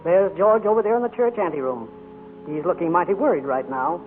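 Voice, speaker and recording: A folksy small-town narrator who chats directly to the folks listening. His voice sits high for a man. The sound is a tinny old broadcast with clear music underneath. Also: lively intonation, brisk. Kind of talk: 1940s radio drama narration.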